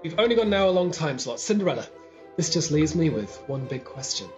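A man's voice: a little high, very animated, brisk, lo-fi sound, music in the background. A man in his thirties playing an eager, polite young prince in a comic pantomime. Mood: earnest. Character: eager, polite young fairy-tale prince wooing Cinderella. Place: living room at home. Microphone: video call.

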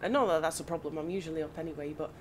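A woman with a slightly croaky voice from hay fever, chatting casually and thinking aloud as she plays. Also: low-pitched, fairly even intonation, racing. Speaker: gaming channel host. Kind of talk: casual gameplay commentary. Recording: audible background noise.

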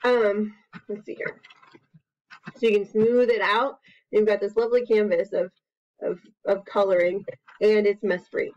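An American woman around forty chats casually to camera as a preschool teacher demonstrating an activity, in a playful, expressive manner. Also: medium pitch, measured pace, long pauses. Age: 30s to 40s. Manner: playful, expressive, with exclamations and sound words. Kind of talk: casual instructional chat to camera. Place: small plain room at home, indoors. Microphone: computer webcam mic at about arm's length. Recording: dull, lo-fi webcam sound.

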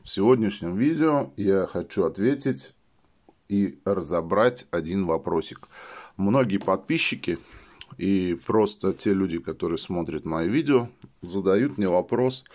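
A man with a slightly hoarse voice from a cold, chatting casually while demonstrating a product at a table indoors and leaning on filler phrases. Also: deep voice, lively intonation, a little slow, darkish tone. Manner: chatty, keeps saying "let's say" as filler. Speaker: product reviewer. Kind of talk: casual how-to demo.

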